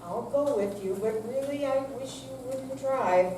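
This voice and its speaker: An older woman performs a worried elderly wife who nags gently, in a play reading. The voice is fairly high. It sounds distant in a big reverberant room with clear noise. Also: unhurried. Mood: anxious, apologetic. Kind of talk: staged reading of a short play. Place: senior center room with audience, distant mic. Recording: echoey hall from far off, noisy.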